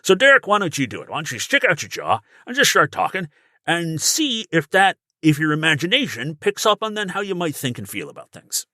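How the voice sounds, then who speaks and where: A man coaches in casual, encouraging podcast talk. His voice is bright and animated, recorded very dry. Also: on the high side, brisk, no echo. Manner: encouraging, often checking with "right?". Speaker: voice acting coach.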